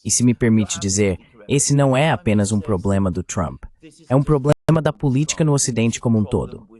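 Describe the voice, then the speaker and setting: A man speaking in a measured, explanatory way, as in a dubbed political discussion. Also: lowish voice, animated, dark tone. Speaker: AI voice reading a translated political commentator.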